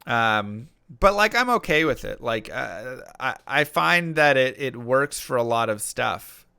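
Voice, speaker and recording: Guy on a live stream reading chat and rambling, bright crisp voice, dry close mic. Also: mid-range voice, expressive, a little slow, dry recording. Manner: rambling, lots of fillers and restarts, reads chat messages aloud. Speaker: streamer and rapper.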